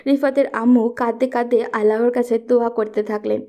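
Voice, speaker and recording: A woman narrates a scripted love story. Her voice is fairly high in pitch yet soft-edged and mellow, with little brightness. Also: fairly even intonation, brisk.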